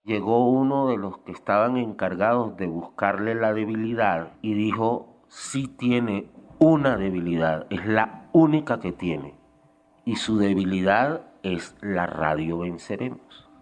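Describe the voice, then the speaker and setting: Older man, low voice, colloquial, salty, interview storytelling. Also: expressive, a little slow, a little mellow. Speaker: former guerrilla radio member giving testimony. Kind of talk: casual anecdotal interview.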